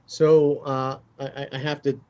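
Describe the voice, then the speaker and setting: A man hosting a podcast interview in a conversational style, phrasing his questions in a halting, thoughtful way. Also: mid-range voice, little pitch movement, a little mellow, lo-fi sound. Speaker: podcast host.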